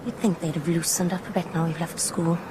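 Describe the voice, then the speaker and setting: A woman's voice: on the low side, fairly even intonation, a little fast, natural voice. An actress playing a cheeky, teasing Welsh teenage village girl in a naturalistic radio drama. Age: young adult. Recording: noisy outdoor ambience.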